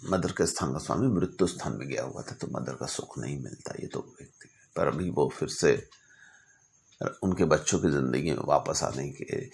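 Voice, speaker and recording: A man narrating stories informally as an astrologer, speaking deliberately in short phrases broken by pauses. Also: a bass voice, vocal fry. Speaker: astrologer telling client stories.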